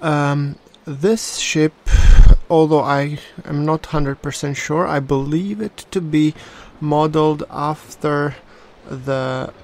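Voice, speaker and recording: A man with a non-native English accent narrating his naval battle gameplay, slow and hesitant with many fillers. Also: a little high, steady tone, darkish tone. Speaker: gameplay commentator.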